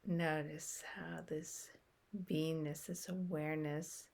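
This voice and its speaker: A woman guiding a meditation in a soft, unhurried way, with long pauses between short phrases. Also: sits low, flat delivery, creaky. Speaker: meditation guide. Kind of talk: guided meditation.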